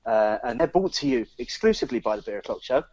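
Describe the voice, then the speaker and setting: A man's voice: medium pitch, expressive, quick pace, a little mellow, no echo, dull audio. A British man co-hosting a casual beer podcast, often repeating words as he gets his sentences going. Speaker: podcast co-host.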